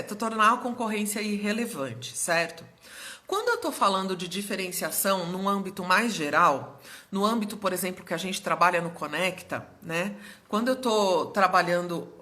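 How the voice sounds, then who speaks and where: Woman, young adult, mentor host on a live stream; bright tone, a bit hoarse, chatty and explanatory, keeps checking in with viewers. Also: medium pitch. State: slightly hoarse.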